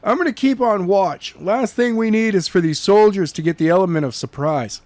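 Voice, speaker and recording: A man's voice: high voice, normal speed, a little mellow, dry recording, voice pushed up, close to an everyday voice. A man reading the lines of an alert, protective young man in a raised, urgent voice.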